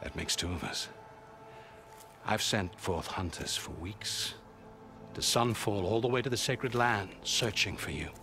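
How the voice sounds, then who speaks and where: An actor playing an earnest, troubled leader of a sun-worshipping realm in a video game, with formal, measured diction. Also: male voice, fairly low pitch, soft background music. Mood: grave, urgent. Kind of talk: video game cutscene acting.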